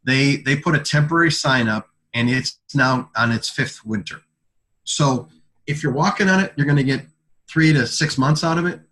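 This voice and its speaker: A man gives an informal product presentation as a sales presenter, speaking haltingly and often repeating words. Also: medium pitch, fairly bright tone. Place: conference call.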